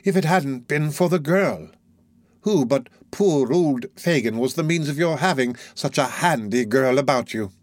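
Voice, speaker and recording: A man voicing a crafty, wheedling old male fence in a storybook reading, slow and fawning. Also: mid-range voice, expressive, no echo, close to an everyday voice. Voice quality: oily, fawning, drawn-out.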